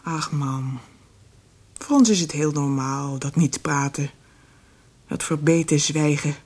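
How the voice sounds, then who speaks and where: Adult daughter, radio drama: lowish rough voice, reflective, quietly bitter. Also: female voice, takes it slowly, full character voice. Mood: resigned, bitter. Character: sober, bitter grown-up daughter. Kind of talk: Dutch radio drama acting.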